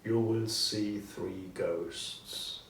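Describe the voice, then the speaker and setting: A solemn storybook ghost of a dead businessman, acted out by a man, speaks slowly and emphatically. The voice is anguished and full of regret. It rings in a roomy echo, some distance from the mic. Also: lowish voice, fairly even intonation, fairly bright tone, a little hiss or hum. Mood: solemn warning. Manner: slow, solemn, emphatic declamation.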